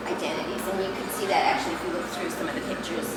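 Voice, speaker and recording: An older woman with a New York accent, presenting her art at a festival Q&A with many pauses and ums. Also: fairly low pitch, fairly even intonation, a loud crowd. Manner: halting, many ums and restarts. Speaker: artist presenting her work. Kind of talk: festival talk and Q&A. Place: large echoey venue. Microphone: distant microphone. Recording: echoey hall, far from mic, noisy.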